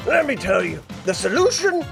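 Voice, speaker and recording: A man voicing a grumpy, bitter, muscular barkeep in an anime parody, gruff, exasperated and foul-mouthed. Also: very high voice, big pitch swings, moderate pace, music in the background, full character voice. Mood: gruff grumbling.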